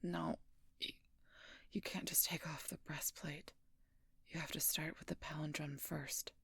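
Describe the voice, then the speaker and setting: A woman playing a wounded, protective but gruff female werewolf knight in an intimate audio roleplay, husky and halting, often dropping into a whisper. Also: sits low, steady tone, fairly bright tone, no echo, exaggerated voice. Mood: pained, gruff. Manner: halting, strained delivery with sighs.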